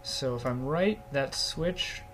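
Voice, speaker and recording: A man's voice: medium pitch, lively intonation, slow pace, a bit bright. Young male let's-play host, slightly sniffly from a cold, easygoing chatter, mid-range voice, faint music underneath. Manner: chatty, easygoing, reacts aloud to the game. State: starting a cold, a bit sniffly. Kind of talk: casual gameplay commentary. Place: small quiet closet at a school. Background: faint swing game music.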